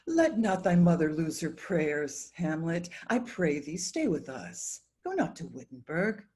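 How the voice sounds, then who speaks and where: Husky, mellow pleading queen played by a woman. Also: low-pitched, sing-song, measured pace, exaggerated voice. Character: pleading royal mother, a middle-aged queen. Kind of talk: dramatic reading of Shakespearean verse. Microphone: video call.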